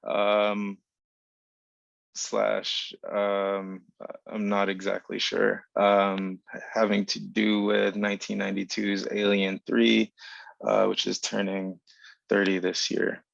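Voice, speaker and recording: A man with a low, creaky voice reads his literary writing aloud in a flat, even line. He is a poet from South Carolina, and the sound is dry and slightly dull, as on a video call. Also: takes it slowly, no echo. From South Carolina, United States. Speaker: poet reading own work. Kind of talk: literary reading of an essay.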